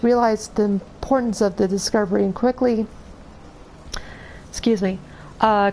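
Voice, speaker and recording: A woman streaming a video game, reading in-game lore aloud in a halting way with many restarts, her voice going hoarse. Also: mid-range voice, a little mellow, a little hiss or hum, low-quality recording. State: losing her voice. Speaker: gameplay streamer.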